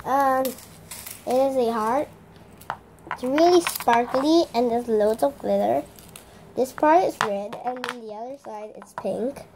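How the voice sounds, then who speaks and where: Teenage girl, hoarse, high voice, rambling fillers, casual unboxing vlog, small room, faint hiss. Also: a little slow. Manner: chatty, many fillers and restarts. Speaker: vlogger.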